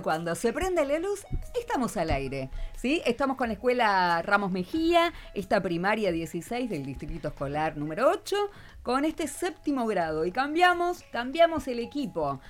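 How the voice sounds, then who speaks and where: A woman's voice: fairly high pitch, sing-song, normal speed, soft background music. A woman with an Argentine accent from Buenos Aires, hosting a casual radio interview in a chatty, encouraging way. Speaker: radio host and teacher. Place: radio studio.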